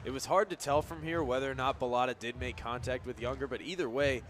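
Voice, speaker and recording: A man's voice: mid-range voice, steady tone, fast, fairly bright tone. A man giving conversational, explanatory color commentary during a live baseball radio broadcast. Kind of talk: conversational live game analysis. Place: outdoor baseball stadium. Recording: clearly noisy outdoor stadium background. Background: outdoor ballpark ambience.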